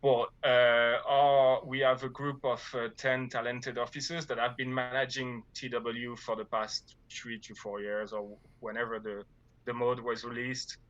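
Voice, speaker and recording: A man's voice: medium pitch, little pitch movement, measured pace, a bit bright, dry recording, dull audio. A man from France with a French accent, talking about his gaming guild in a casual interview, hesitant with many fillers and restarts. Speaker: guild leader.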